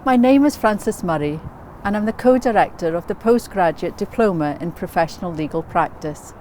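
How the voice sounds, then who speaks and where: A woman speaking as a university course director, giving a scripted promotional testimonial in a formal, measured way. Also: fairly low pitch, animated, dark tone, a little hiss or hum, low-quality recording.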